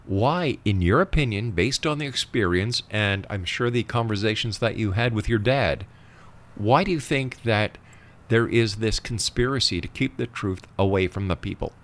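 A man's voice: fairly low pitch, moderate pace, fairly bright tone. A man hosting an interview show, speaking conversationally and emphatically, repeating phrases to drive his points home. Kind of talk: conversational interviewing and opinionated banter.

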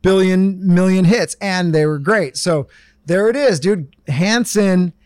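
A man co-hosting a casual talk show, joking and riffing in animated banter. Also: sits high, takes it slowly, no echo, clean studio sound. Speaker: podcast co-host.

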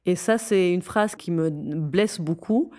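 Earnest woman with a lowish voice. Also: takes it slowly, mellow. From Syria. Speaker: interview guest, founder of a youth non-profit. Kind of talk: conversational interview.

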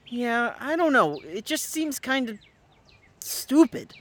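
A performer playing an anxious, exasperated boy at summer camp in an audio drama, with a halting, youthful delivery. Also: medium pitch, very animated, fairly bright tone. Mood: annoyed, hurt. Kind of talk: naturalistic audio drama acting.

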